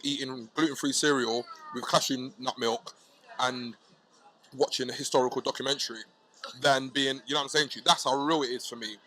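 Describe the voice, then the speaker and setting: A man chatting casually as a hip hop artist guest, animated and slangy, often asking if you know what he's saying. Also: medium pitch, fairly quick, poor audio. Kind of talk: casual podcast chat.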